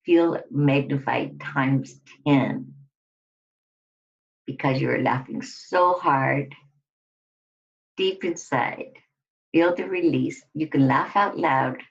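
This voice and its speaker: A woman giving a scripted guided relaxation exercise, instructing deliberately in short phrases with pauses between them. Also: a bass voice, vocal fry. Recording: dull lo-fi recording.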